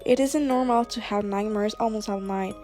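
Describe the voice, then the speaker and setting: A woman playing a caring, worried mother with a gentle, coaxing manner in an amateur radio drama. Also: mid-range voice, moderate pace, faint music behind, natural voice. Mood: concerned.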